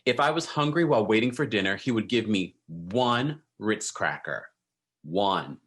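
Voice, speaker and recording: Low-voiced man chatting to viewers. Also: animated, a little slow, fairly bright tone. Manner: conversational, addresses viewers directly. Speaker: online video host. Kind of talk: casual chat to viewers.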